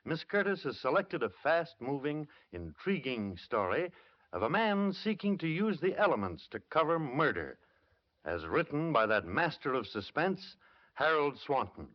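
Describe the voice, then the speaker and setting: A man hosting an old-time radio mystery show, giving a measured, formal introduction. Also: fairly high pitch, very animated. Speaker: radio show host and narrator. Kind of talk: 1940s radio announcing. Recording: dull lo-fi old radio recording.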